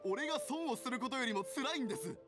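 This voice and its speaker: A petty merchant from an anime game. His delivery is excitable and theatrical, swinging widely in pitch as he grumbles and schemes. Game music is clearly heard behind him. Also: fast. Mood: spiteful, gloating. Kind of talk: Japanese anime game voice acting.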